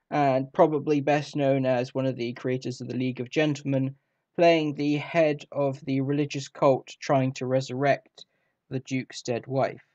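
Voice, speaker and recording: A man giving an informal scripted review, with halting, broken phrasing. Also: mid-range voice, a little mellow, highs cut off. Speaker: audio drama reviewer. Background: faint music.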